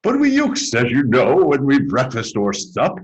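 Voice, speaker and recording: A man's voice: medium pitch, huge pitch swings, measured pace, slightly rough, muffled recording, full character voice. A man voicing a very old, earnest and indignant grandfather in a storybook, grave and emphatic. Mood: grave warning.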